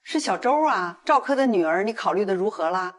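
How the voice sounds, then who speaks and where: A brash middle-aged woman , acted by a woman speaks bluntly in drama dialogue. Her voice is lively and swooping, with a rough, husky edge. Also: medium pitch, a little fast, natural voice. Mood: chummy. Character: brash middle-aged woman, defensive accomplice and busybody matchmaker.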